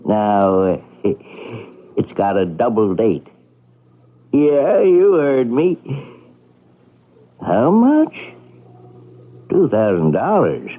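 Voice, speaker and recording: A man's voice: fairly low pitch, wildly swooping pitch, very slow, long pauses, exaggerated voice. An actor playing a grubby, greedy old beggar in a radio drama, whining, muttering and stammering as he goes. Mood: excited, cagey. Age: older. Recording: muffled vintage radio broadcast.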